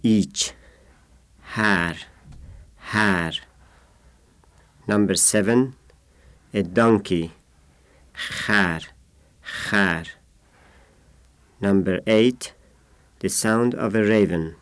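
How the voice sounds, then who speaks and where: A man of thirty-five from Yazd with an Iranian accent, a native Persian speaker, reading out a numbered word list in a deliberate way. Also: lowish voice, fairly bright tone, clear, resonant tone, no room sound. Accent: Iranian (Yazdi). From Yazd, Iran. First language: Persian (Yazdi dialect). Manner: deliberate, isolated words with numbered glosses. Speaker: language informant.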